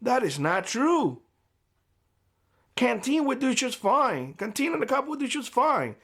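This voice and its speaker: A man speaking straight to camera in a vlog, giving blunt, lecturing advice in a hoarse voice. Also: extremely high pitch, sing-song, a little slow, fairly bright tone. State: hoarse, losing his voice. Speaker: prepper YouTuber. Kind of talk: direct-to-camera vlog monologue. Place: homestead.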